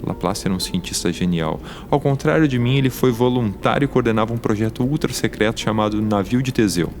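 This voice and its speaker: A man performs a scientist with a mechanical arm in an audio drama. His voice sits fairly low, and he recounts a wartime past in formal, deliberate and wordy phrasing. Prominent music plays under him. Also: a little mellow, natural voice. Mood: reflective, explanatory.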